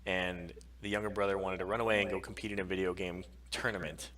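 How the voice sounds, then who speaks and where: A man's voice: sits low, steady tone, fast, fairly bright tone, recorded from a distance. A man co-hosting a casual pop-culture live stream, talking in a sweary, enthusiastic, geeky way. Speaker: podcast co-host on a live stream.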